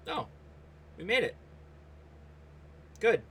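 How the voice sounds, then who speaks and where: A man hosting a hobby livestream, chatting casually and reacting aloud in an excitable way, often addressing people by name. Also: sits high, extremely slow, breathy edge. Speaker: host of a ham radio livestream. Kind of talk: casual livestream chat and running commentary while operating radio.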